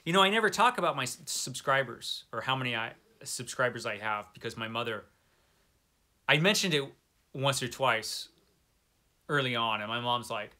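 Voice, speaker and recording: A man with a bright, forward voice at a middle pitch, talking off the cuff as a vlog host. He is informal and self-deprecating, and leans on certain words by stretching them out. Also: lively intonation, normal speed. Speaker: book vlogger, channel host. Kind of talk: casual, unscripted chat to viewers.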